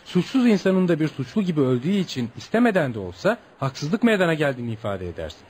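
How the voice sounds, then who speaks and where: Fast-talking, kindly visiting writer. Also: male voice, on the high side, big pitch swings, darkish tone. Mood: kindly, encouraging. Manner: avuncular, inquisitive, reassuring. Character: kindly, curious male foreign writer, patient adviser. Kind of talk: Turkish radio theatre acting. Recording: background noise and ambience.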